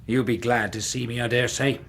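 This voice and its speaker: A man's voice: mid-range voice, fairly even intonation, fairly quick, fairly bright tone. Insolent old seaman, menacing. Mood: sullen, sneering. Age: older. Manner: insolent, sneering, rough sailor's speech. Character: insolent, menacing old seaman turned self-appointed butler. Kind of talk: radio drama acting.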